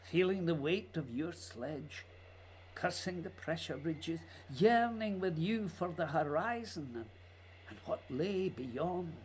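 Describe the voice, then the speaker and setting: A man acting in a radio play as a wistful, anxious writer who dreams himself onto the polar ice, speaking in a halting, poetic way. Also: a little high, expressive, slight background noise. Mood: yearning, haunted.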